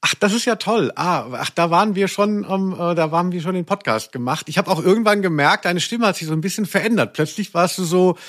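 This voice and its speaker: A man's voice: sits high, animated, a little fast, few pauses, a bit bright. A man with a German accent hosting a podcast in casual, humorous chat, rambling and teasing. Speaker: podcast host.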